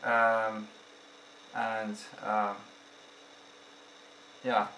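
A young man for whom English is not his first language, talking casually to camera as a gaming vlogger, slow and halting, with many fillers and restarts. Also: on the low side, monotone, pushed and bright. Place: small room indoors. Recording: echoey room, faint background noise.

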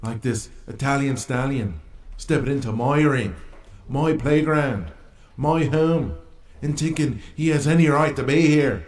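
A man's voice: medium pitch, unhurried, darkish tone, reverberant, lightly acted. Man playing a cocky trash-talking wrestler. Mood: boastful. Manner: boastful trash talk. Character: cocky young wrestling champion, territorial.

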